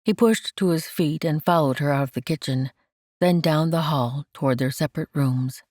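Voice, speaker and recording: A woman narrating romance fiction from a script, in a measured and gentle manner. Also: low voice, no echo, clean studio sound. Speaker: audiobook narrator.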